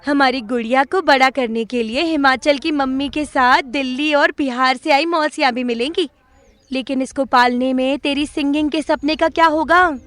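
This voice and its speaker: Bold young big sister, high voice, emphatic, protective, audio drama delivery. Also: female voice, normal speed, fairly bright tone, no echo. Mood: assertive, teasing. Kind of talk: Hindi audio drama acting.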